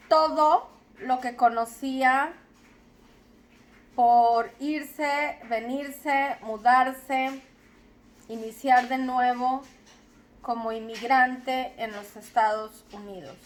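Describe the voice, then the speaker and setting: A young adult woman from Zacatecas with a Mexican accent, slowly telling her personal story in a reflective, deliberate way. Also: high voice, fairly even intonation. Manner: slow, deliberate, reflective with repetitions.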